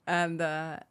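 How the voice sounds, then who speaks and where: Woman, Finnish accent, middle-aged, lower voice, candid reflective talk, laughs often. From Finland. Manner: reflective, frank, laughs often between phrases. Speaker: podcast guest. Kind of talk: candid personal recovery story.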